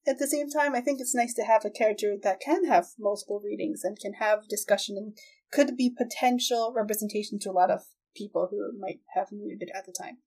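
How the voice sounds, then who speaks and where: A woman's voice: medium pitch, a little fast, darkish tone, low-quality recording. A woman hosting a podcast, chatting casually in a tentative way with giggles slipping in. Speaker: podcast host. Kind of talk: casual interview chat.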